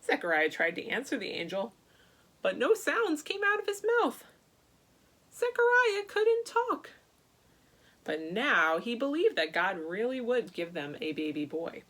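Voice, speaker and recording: A woman speaking to young children as a church storyteller, reading aloud and chatting in an animated way with simple questions. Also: fairly high pitch, unhurried. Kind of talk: read-aloud children's Bible story and chat to kids.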